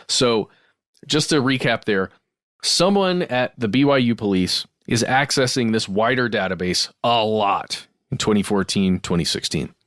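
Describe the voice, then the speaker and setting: A man's voice: lowish voice, a bit bright, no room sound. Male podcast co-host, dry close studio sound. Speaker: podcast co-host reading listener mail. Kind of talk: conversational podcast, reading emails aloud with asides.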